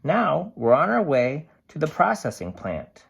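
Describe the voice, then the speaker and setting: Storybook aluminum can, acted by an adult reader: cheerful, wide-eyed, unhurried, with very animated pitch. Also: vocal fry, husky, exaggerated voice. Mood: excited, wistful. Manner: bubbly, wide-eyed, expressive storybook delivery. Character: cheerful, excitable aluminum can writing diary entries.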